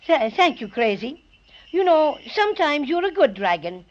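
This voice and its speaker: A woman's voice: high voice, big pitch swings, normal speed. A woman voicing a small, feisty, wary bear in a 1930s radio drama. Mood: wary, grudging. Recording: dull lo-fi 1930s radio recording.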